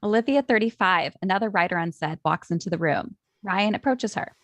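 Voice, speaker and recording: A woman's voice: mid-range voice, animated, fairly quick, no echo. A woman reading scripted stage directions as narrator at a table read.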